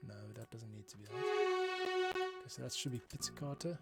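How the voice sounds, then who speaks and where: Man thinking aloud over instrumental music. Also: mid-range voice. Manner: muttering to himself in short, halting remarks. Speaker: music composer livestreaming his work. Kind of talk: casual thinking-aloud work commentary. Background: instrumental music playback.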